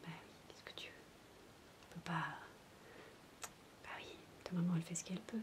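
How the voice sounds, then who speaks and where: A woman in a soft-spoken, whispered ASMR roleplay, coaxing like a babysitter talking to a sulking child. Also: fairly low pitch, little pitch movement, moderate pace.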